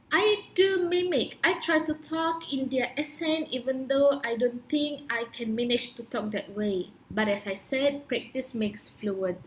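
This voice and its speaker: A woman's voice: a little high, slight background noise, poor audio. A young woman with a Malaysian accent speaks in a slightly raised register, her native Malay shaping her English. She talks like a teacher, earnest and instructive, stopping to ask her listeners rhetorical questions. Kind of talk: prepared talk to camera.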